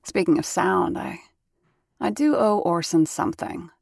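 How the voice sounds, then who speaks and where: A woman's voice: on the low side, animated, unhurried, vocal fry, a little mellow, slightly rough, no echo, exaggerated voice. A woman playing a wry, seasoned, no-nonsense radio sound-effects worker in an audio drama, talking in conversational asides. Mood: reflective. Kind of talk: 1930s-set audio drama narration and dialogue.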